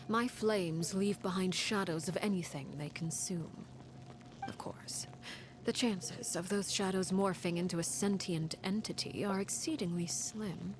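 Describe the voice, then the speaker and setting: An actress playing a cold, composed head of a crime family in a video game, telling dark stories in a measured, formal, breathy husky voice. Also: female voice, on the low side, background noise, close to an everyday voice. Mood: cool, composed. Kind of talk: solemn dramatic storytelling, video game voice acting.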